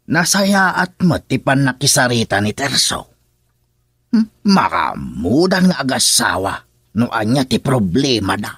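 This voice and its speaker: A man with an Ilocano accent playing a calm, concerned older father in a radio drama, speaking deliberately. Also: mid-range voice.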